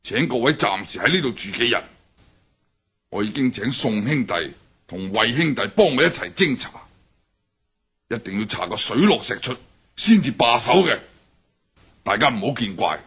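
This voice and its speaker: An actor playing a stern older triad boss in a radio drama, speaking with a Hong Kong Cantonese accent and a raspy, commanding manner. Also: male voice, mid-range voice, big pitch swings, moderate pace, long pauses, fairly bright tone, full character voice. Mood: grave. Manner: commanding, barks orders. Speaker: gang leader.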